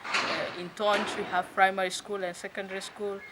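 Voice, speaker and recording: Hesitant young nun. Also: female voice, mid-range voice, level tone, very noisy. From South Sudan. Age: young adult. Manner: hesitant, pauses with many fillers. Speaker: religious sister and educator. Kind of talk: interview answers.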